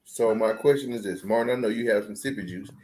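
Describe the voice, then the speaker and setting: Thirty-something podcast dude, playful and teasing, steers the chat, faint hiss behind him. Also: male voice, fairly low pitch, fairly even intonation, fast, darkish tone, rough recording. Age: 30s. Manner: playful, ribbing, steers the talk. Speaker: podcast co-host. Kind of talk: casual chat.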